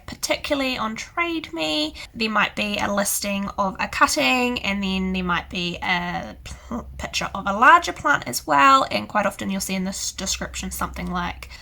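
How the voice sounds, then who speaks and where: A young woman with a hoarse, croaky voice from illness, chatting casually as she explains plant care. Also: mid-range voice, expressive, moderate pace, bright, forceful tone. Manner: chatty and rambling, many "so yeah" fillers. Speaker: houseplant channel host. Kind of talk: casual tutorial to camera.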